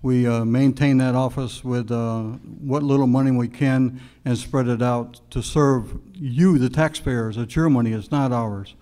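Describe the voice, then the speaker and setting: A man answering as an incumbent constable at a candidate forum, with a halting, hesitant delivery. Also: mid-range voice, steady tone, dark tone.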